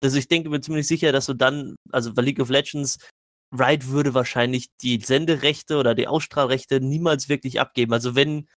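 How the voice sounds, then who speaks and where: A man's voice: mid-range voice, steady tone, a little fast, a bit bright, no room sound. Guy arguing in a casual podcast, repeats himself, very dry sound. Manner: argumentative, insistent, stumbles and repeats words. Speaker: podcast panelist. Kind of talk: casual debate on gaming news.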